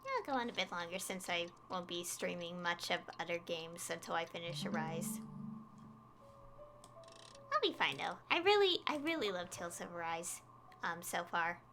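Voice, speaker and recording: A woman's voice: fairly low pitch, expressive, moderate pace, background noise. A woman livestreaming a video game, chatting casually in a rambling, giggly way. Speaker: game streamer. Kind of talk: casual livestream chat over gameplay, reading game dialogue aloud.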